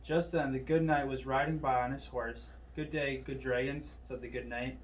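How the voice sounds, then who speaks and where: Male storybook reader, mid pitch, distant mic, slight noise. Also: steady tone, moderate pace. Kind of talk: read-aloud children's story narration.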